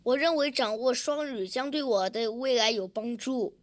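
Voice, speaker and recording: Woman, slightly high pitch, dry close sound, short recited sentences. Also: moderate pace, dry recording. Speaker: student public speaker. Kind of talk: reading a prepared statement.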